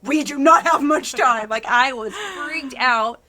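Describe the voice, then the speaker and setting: A woman's voice: sits high, unhurried, sharp tone, no room sound. A woman in her thirties hosting a casual interview, chatty and given to acting out remembered dialogue. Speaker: talk show host.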